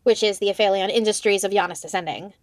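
A woman's voice: mid-range voice, fast talker, no echo. A woman working as a podcast interviewer, putting questions in a conversational way.

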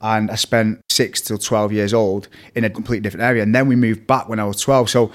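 A young man with a strong Mancunian accent, telling stories as a podcast guest in fast, scattered casual chat. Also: fairly low pitch, flat delivery. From Manchester, England. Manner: fast, scattered storytelling with fillers.

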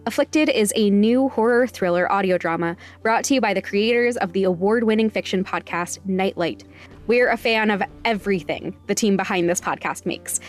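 Woman reading podcast credits over faint music. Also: mid-range voice. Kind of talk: scripted credits narration.

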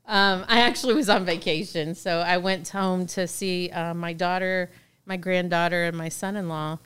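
A woman's voice: on the low side, fairly even intonation, normal speed, a bit bright, a little hiss or hum. A middle-aged woman hosting a podcast in a chatty, casual way, laughing easily. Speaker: podcast host.

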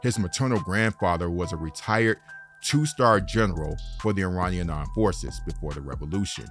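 A man from Detroit who hosts the podcast, giving a measured, steady scripted narration. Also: deep voice, soft background music. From Detroit, United States.

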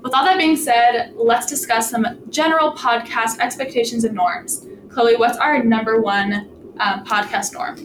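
A woman's voice: fairly high pitch, unhurried, echoey room. A woman co-hosting a podcast, enthusiastic and encouraging as she introduces the teen hosts. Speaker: podcast staff co-host.

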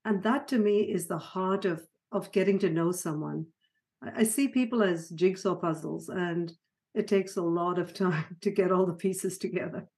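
A middle-aged woman born in Sri Lanka and raised in Ghana, talking through her life story as a podcast guest, with soft laughs and frequent restarts. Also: fairly low pitch, normal speed. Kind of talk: conversational storytelling interview.

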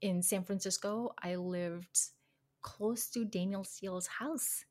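A young woman with an Indian accent chats as a podcast guest, her voice sitting in a middle pitch. She talks loosely with lots of fillers and restarts and laughs often. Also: steady tone, unhurried, a little mellow. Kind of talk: casual conversation.

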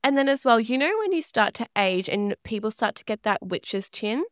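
Clear, slightly nasal woman co-host. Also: a little high, expressive, normal speed, no room sound, clean studio sound. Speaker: podcast co-host. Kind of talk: casual chat.

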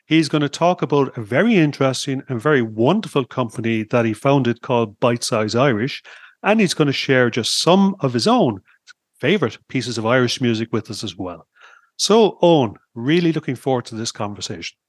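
Man, podcast host, upbeat and chatty, mid-pitched voice, dry close sound. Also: animated, moderate pace, no echo. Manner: upbeat, chatty, with frequent fillers. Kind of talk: podcast interview.

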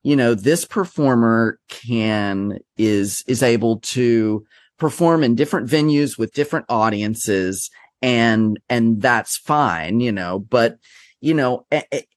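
A man from Texas speaks at a middle pitch in a dry, close recording. He talks as a guest about his drag performing, rambling and self-deprecating with plenty of animated asides. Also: slow pace, dry recording. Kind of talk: conversational interview.

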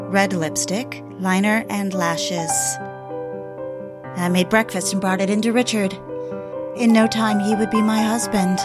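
Actress as dreamy young blonde in love, devoted, intimate audio drama delivery, music underneath. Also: female voice, on the low side, natural voice. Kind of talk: intimate first-person audio drama narration and dialogue.

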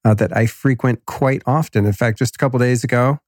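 A man's voice: mid-range voice, a little fast, darkish tone, dry recording, clean studio sound. Laid-back slangy man, a bit nasal. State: slightly nasal from a cold. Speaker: podcast host. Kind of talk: casual podcast intro and ad reads.

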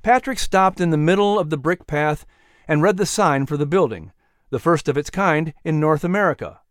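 Clear, smooth male storyteller. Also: fairly high pitch, moderate pace, dry recording, studio quality. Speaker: audiobook narrator. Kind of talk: dramatic scripted children's story narration.

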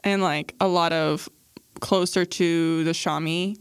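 A woman's voice: fairly low pitch, steady tone, slow pace, clean tone, no echo. A young woman hosting a podcast, asking questions in a friendly, rambling way with lots of fillers. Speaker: podcast host. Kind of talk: casual interview.

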